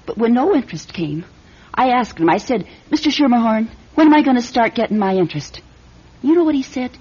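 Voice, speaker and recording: An actress playing a tough, streetwise young hostess who talks bluntly in slang, in an old-time radio detective drama. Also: female voice, on the high side, lively intonation, a little fast, a little mellow, breathy edge. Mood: bitter, hurt. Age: young adult. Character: tough, streetwise young nightclub hostess, guarded but wounded. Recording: dull radio recording, music behind.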